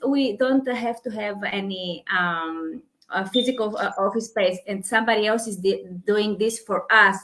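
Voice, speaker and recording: A woman with a Hungarian accent who runs an online business, talking in a halting way with many fillers and restarts. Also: a little high, a bit bright, rough recording. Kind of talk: casual conversation.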